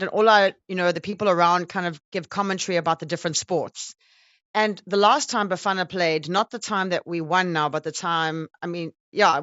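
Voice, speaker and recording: A woman's voice: mid-range voice, a bit bright, no echo, highs cut off. A woman interviewing a guest in a casual, conversational way.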